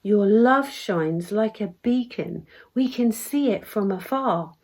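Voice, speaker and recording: An excitable, kindly little storybook fairy acted out by a woman, gushing in exclamations. The delivery leaps and dips in pitch, with a slightly husky edge. It is unhurried, as verse for children is. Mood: tender, admiring.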